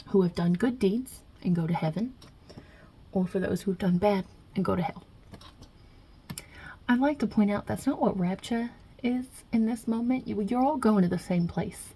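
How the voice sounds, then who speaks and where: A woman voicing God as a wry, touchy Italian mob boss in an ASMR roleplay, with a husky, breathy voice and a put-on Italian-American New York accent. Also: medium pitch. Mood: wry, frank. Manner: casual, wry, chatty, with softly murmured asides.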